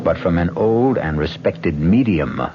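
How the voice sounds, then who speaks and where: A man hosting and narrating a radio mystery in a measured, solemn way. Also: sits low, animated, breathy edge. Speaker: host and narrator. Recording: lo-fi old radio broadcast with music. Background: background music.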